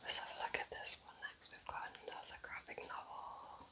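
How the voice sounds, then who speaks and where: A woman speaking in a soft, chatty, informal ASMR whisper, gently recommending things as a host in an unhurried way. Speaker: ASMR host reviewing books.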